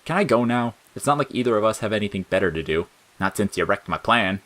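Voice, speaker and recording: A man's voice: on the low side, animated, fast talker, fairly bright tone. Man voicing a sneering, spiteful little flower monster. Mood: snappish, impatient. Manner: sarcastic, mocking, snappish. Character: sneering bitter talking flower, spiteful bored male monster. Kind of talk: audio fiction character acting.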